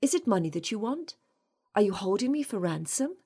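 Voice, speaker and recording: A frightened but defiant young captive woman, played by a woman narrator, speaks with a husky edge. She is indignant and breathless, pushing her demands out dramatically as in a romance audiobook. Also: medium pitch, big pitch swings, a little fast, warm tone, pitched above the performer's own voice. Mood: alarmed, demanding.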